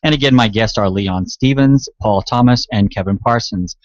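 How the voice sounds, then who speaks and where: A man's voice: lowish voice, moderate pace, no room sound. Upbeat male radio host, dry dull studio sound. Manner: upbeat, encouraging, jokey. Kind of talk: casual radio chat.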